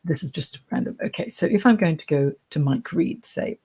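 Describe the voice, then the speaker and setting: A woman career coach with a soft voice, chatting her way through a screen-share tutorial on a computer mic. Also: low-pitched, expressive, moderate pace, breathy edge. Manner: chatty, reassuring, talks through clicks.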